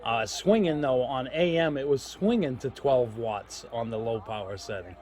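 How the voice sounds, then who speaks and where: A man's voice: mid-range voice, animated, moderate pace, darkish tone. A man giving a casual hands-on product demo, explaining step by step in an informal, folksy way. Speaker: host of a radio gear review channel.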